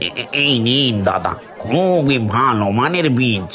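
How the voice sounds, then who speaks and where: A man's voice: mid-range voice, very animated, slow, pushed and bright, soft background music, a faint crowd, exaggerated voice. A man voicing a firm village seed seller in a cartoon, matter-of-fact and salesmanlike. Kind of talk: cartoon voice acting.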